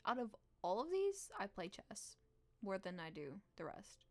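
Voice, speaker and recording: A woman live streamer reading a visual novel aloud in a playful, expressive way with casual reactions. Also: mid-range voice, measured pace.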